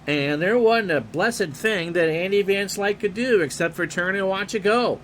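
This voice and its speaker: A man calling a replayed baseball game play-by-play in a calm, unhurried way, reading player stats and chatting with viewers. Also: high-pitched, slight background noise. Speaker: play-by-play announcer and streamer.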